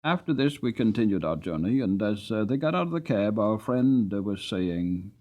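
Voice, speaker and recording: A man's voice: fairly low pitch, lively intonation, normal speed, darkish tone. A man reading a classic novel aloud as an audiobook narrator, in a dramatic storytelling style.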